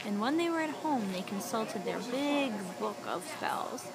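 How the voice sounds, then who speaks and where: A woman with a fairly high voice tells a made-up children's story. She is animated and encouraging, drawing the story out with questions and repeating what she hears. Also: measured pace, a loud crowd. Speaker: storyteller prompting a young child.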